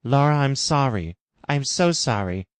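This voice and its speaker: A man's voice: medium pitch, expressive, a little slow, smooth, no room sound, voice pushed up, natural voice. A man voicing a grave male adviser who gives dire warnings, earnest and emphatic, in a parody story. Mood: sorrowful.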